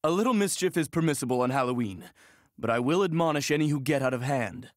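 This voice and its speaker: A strict, proper male game character, played by a man with a formal, admonishing manner. He talks briskly at a middle pitch, in a dry recording. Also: dry recording, natural voice. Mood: stern. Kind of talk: scripted video game character voice lines.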